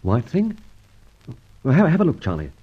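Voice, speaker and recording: An actor playing a suave, unflappable British gentleman adventurer in an old radio serial, well-spoken, wordy and ironically polite. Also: fairly high pitch, huge pitch swings, normal speed, husky, muffled recording, exaggerated voice. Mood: wary, brisk.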